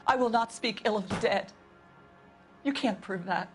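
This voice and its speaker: A woman's voice: a little high, moderate pace, faint music behind, natural voice. A woman playing a fretful, stammering housekeeper. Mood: defensive. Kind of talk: video game interrogation scene.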